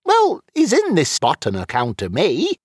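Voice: mid-range voice, wildly swooping pitch, measured pace, husky, no echo, clean studio sound, pitched above the performer's own voice, full character voice. A narrator voicing an elderly male wizard, sheepish and quibbling, with folksy dialect phrasing, in a fantasy audiobook. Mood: earnest.

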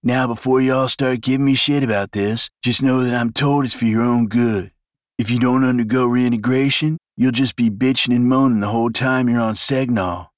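A man's voice: mid-range voice, steady tone, normal speed, no room sound, clean studio sound, pitched above the performer's own voice, natural voice. A man voicing a smug, crude young male clone who taunts with slang. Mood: cocky.

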